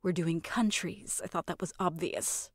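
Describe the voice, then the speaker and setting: A woman's voice: on the low side, fairly even intonation, unhurried, dry recording, studio quality, voice pushed down. A woman voicing a haughty, condescending mother-in-law in her sixties, cool and clipped, in an audio short story. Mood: withering.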